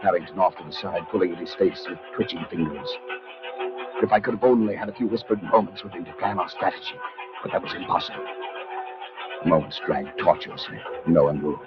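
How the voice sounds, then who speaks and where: A man's voice: sits low, brisk, close to an everyday voice. An actor playing a terrified, haunted man who tells his own story of doom in 1940s radio drama style. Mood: tense. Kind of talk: 1940s radio acting, dramatic first-person narration. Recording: dull vintage radio recording with music.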